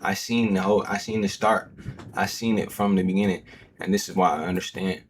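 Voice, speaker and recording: A man with a fairly low voice, talking loose and slangy as he reacts to a clip. He leans on fillers, and faint background noise sits under him. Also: steady tone, brisk. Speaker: host. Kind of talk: casual reaction commentary.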